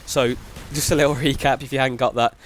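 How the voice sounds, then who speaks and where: Fast-talking nasal man with a cold. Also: mid-range voice, slight background noise. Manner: quick, even delivery with repeated "very, very". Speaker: gaming commentator. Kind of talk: gameplay commentary with tips. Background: game gunfire and explosions.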